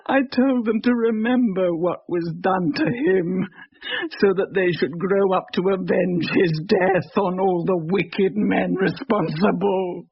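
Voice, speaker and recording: A woman's voice: on the high side, slightly rough, full character voice. An actress playing a starving, bitter village widow and mother, speaking a mournful, anguished monologue in a radio horror drama. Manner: slow, mournful, anguished delivery. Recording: dull lo-fi radio drama recording.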